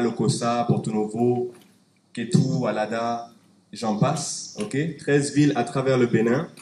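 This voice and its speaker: A man's voice: medium pitch, a little mellow. Guy from canada giving a talk, lots of uhs, echoey room w a bit of noise. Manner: halting, many fillers and restarts. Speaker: conference speaker. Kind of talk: conference presentation with slides. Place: conference hall. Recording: echoey hall, faint background noise.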